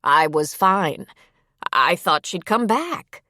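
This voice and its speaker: A woman's voice: fairly low pitch, big pitch swings, slow pace, bright, forceful tone, dry recording, exaggerated voice. Woman acting a curt, weary older woman in pain. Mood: weary, defensive. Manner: dry, curt, complaining. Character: irritable, pained older woman, weary and dismissive. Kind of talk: audiobook character dialogue.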